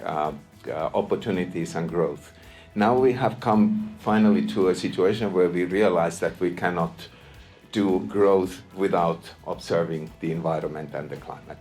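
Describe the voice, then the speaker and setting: A deep-voiced man with a Finnish accent gives a formal speech, pausing often and repeating words as he searches for them. Faint music plays behind him. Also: darkish tone. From Finland. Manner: halting, many ums and repeated words. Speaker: EU delegation official giving a speech. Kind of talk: hesitant formal launch speech.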